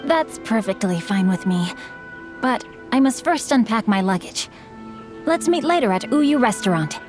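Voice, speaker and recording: Woman playing a refined, boyish young gentleman author. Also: a little high, animated, normal speed, faint music behind, lightly acted. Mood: courteous, hesitant. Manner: formal, courteous, refined. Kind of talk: video game voice acting.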